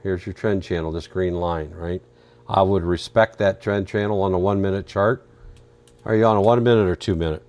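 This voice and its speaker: Low-voiced man losing his voice. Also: fairly even intonation, brisk, a little mellow. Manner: chatty, answers viewer questions while reading charts. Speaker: live trading stream host. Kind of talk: casual live market commentary.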